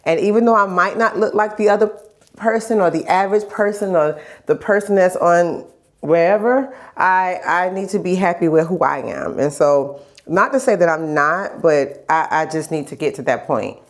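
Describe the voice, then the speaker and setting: Low-voiced woman with a stuffy nose. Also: normal speed. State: stuffy, getting over a cold. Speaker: vlogger sharing health journey. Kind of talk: casual personal video diary chat.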